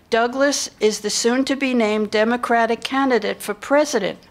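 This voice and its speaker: An older woman delivers a scripted narration into a lectern microphone. Her voice sits a little higher than most women's, and she reads from a prepared text. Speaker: narrator in a staged reading. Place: indoor hall with seated audience.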